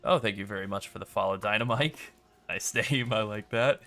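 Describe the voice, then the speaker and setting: A man's voice: fairly low pitch, a little fast, gravelly. A man streaming a video game, commenting casually as he plays, with a rambling delivery full of "like.". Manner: relaxed, rambling, many "like" fillers.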